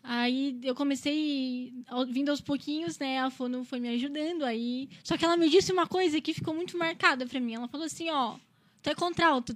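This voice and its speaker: A woman in her twenties from Brazil, a singer chatting casually as an interview guest, often ending phrases with a questioning tag. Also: sits high. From Criciúma, Santa Catarina, Brazil. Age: 20s.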